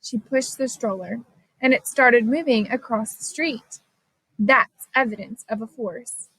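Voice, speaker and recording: A woman reading a children's science story aloud as scripted narration, expressive and clearly phrased. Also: fairly high pitch, unhurried, no echo, rough recording. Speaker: storybook reader.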